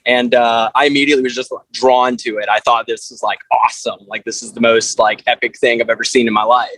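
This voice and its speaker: A man from South Carolina chatting casually as an interview guest, in a loose, halting way full of filler words. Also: mid-range voice, level tone, a bit bright, dry recording, poor audio. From South Carolina, USA. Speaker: interview guest, band frontman. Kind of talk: casual chat.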